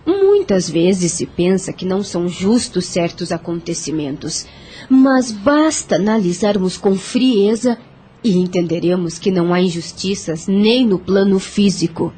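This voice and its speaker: A woman playing a calm, wise counselor of spirits in an audio drama, with a husky voice and measured, soothing moralizing. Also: mid-range voice. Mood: calm, counseling.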